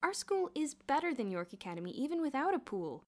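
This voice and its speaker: A woman narrator voices a schoolboy sidekick in a storybook. He is sensible and anxious, with a worried, exclaiming way of speaking. The pitch sits high. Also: expressive, normal speed, a little mellow, close to an everyday voice. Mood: exasperated.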